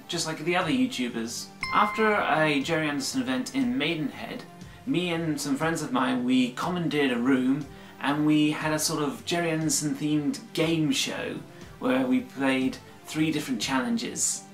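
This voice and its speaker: A man talks straight to his viewers in an earnest, emphatic vlog, his voice slightly croaky. The room around him is echoey, and faint music plays underneath. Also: medium pitch, fairly bright tone. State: slightly croaky after flu. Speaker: YouTuber. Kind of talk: casual vlog monologue.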